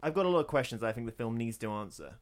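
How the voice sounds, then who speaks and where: A man's voice: mid-range voice, animated, rapid-fire, over loud music. A man co-hosting a podcast, chatting in a wry, rambling way. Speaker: podcast co-host. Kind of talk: casual chat.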